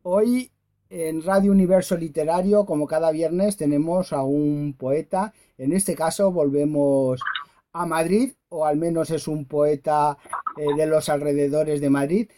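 A man's voice: on the high side, dark tone. A man hosting a radio show, interviewing a guest in a conversational, informal way.

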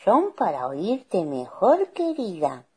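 A woman voicing a sly, wicked male storybook wolf who talks in a falsely sweet, wheedling, drawn-out way. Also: medium pitch, wildly swooping pitch, warm tone, slightly rough, voice pushed up, heavily acted.

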